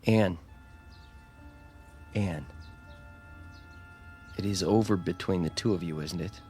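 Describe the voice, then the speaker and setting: An actor playing a sarcastic, possessive young banker fiancé in a radio drama, with a wry delivery. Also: male voice, low voice, slow, warm tone, faint music behind. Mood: confrontational. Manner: wry, sardonic.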